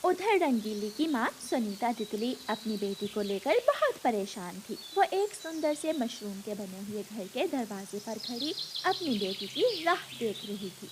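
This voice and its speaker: A woman's voice: fairly high pitch, sing-song, a little slow, few pauses, background noise. A woman narrating a scripted children's cartoon story.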